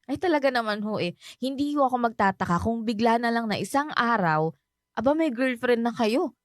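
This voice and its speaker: A woman's voice: fairly high pitch, animated, a little fast, no echo, clean studio sound, natural voice. An actress playing a cheerful, polite young Filipino woman in a radio drama, chatty and respectful toward an older family friend. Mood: teasing, playful. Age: young adult.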